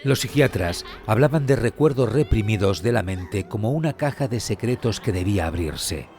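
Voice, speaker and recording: Low-voiced man narrating true crime. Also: lively intonation, a little mellow. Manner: solemn, measured, suspenseful. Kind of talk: scripted dramatic narration. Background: music.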